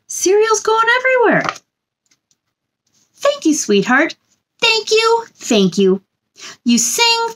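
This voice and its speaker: A woman storytime host with a high voice that swoops up and down. She speaks in a gentle sing-song way, as if to young children, moving between picture-book reading and chatty asides. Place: small indoor room.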